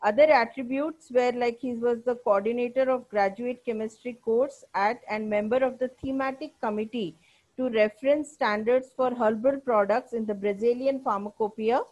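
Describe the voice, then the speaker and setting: A woman's voice: a little high, fairly even intonation, normal speed, darkish tone, highs cut off. A woman with an Indian English accent, formally introducing a guest speaker as a webinar host, polite with fillers.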